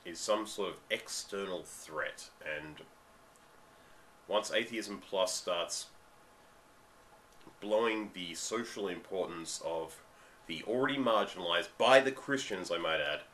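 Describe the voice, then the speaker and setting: An Australian man with a very low, bright, edgy voice delivers a ranting webcam commentary. He is getting over a cold and leans hard on stressed words, stretching them out. A faint hiss of room noise sits under his voice. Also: poor audio. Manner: ranting, emphatic, drawn-out stresses. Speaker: vlogger. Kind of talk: commentary monologue. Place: dim room at home, indoors.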